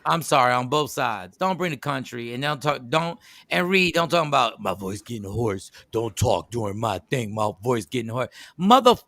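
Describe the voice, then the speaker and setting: A radio show host joking loudly and animatedly in casual banter, often repeating lines for effect. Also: male voice, medium pitch, expressive, moderate pace, creaky, no room sound.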